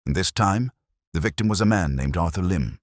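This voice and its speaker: A man voicing a gruff, blunt police officer growling clipped sentences in an audiobook detective story. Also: sits low, lively intonation, brisk, no room sound, clean studio sound. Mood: grim, urgent.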